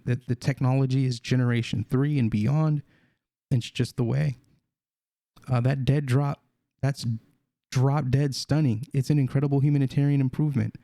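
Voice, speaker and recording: A man with a gravelly, mellow voice reads a news story aloud and breaks in with his own comments. He stumbles and restarts now and then, leaning on fillers. The sound is dry and close. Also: medium pitch, no room sound. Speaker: crypto video host. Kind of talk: reading an article aloud with commentary.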